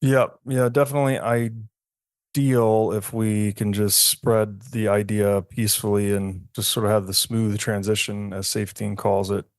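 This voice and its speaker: Low, gravelly-voiced podcast host. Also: male voice, flat delivery, measured pace, no room sound, clean studio sound. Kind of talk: conversational interview.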